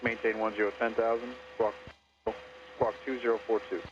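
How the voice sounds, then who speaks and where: A man's voice: fairly low pitch, flat delivery, normal speed, lo-fi sound. Male air traffic controller on noisy radio. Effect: aviation radio. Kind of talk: procedural radio clearances. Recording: noisy aviation radio transmission.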